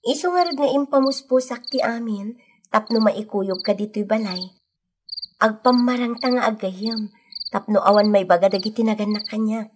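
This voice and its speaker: An actress playing a headstrong, secretive grown daughter in an Ilocano radio drama. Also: female voice, mid-range voice, normal speed, noisy background, natural voice. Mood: tender, secretive. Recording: old radio recording with music behind.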